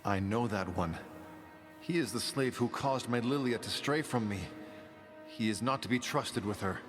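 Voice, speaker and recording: An actor playing a bewildered, grieving lover lost in a dream, halting and wondering, in echoey radio drama with music. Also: male voice, on the low side, natural voice. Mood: sorrowful. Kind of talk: radio play acting. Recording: long echoey reverb, music behind.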